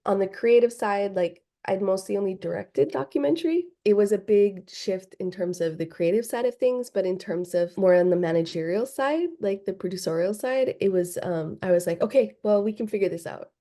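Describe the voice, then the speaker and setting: Woman from Hawaii, filmmaker guest; warm, unhurried interview talk, thinking aloud. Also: medium pitch. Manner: thoughtful, many ums and restarts. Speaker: film director, guest. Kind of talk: casual interview answers.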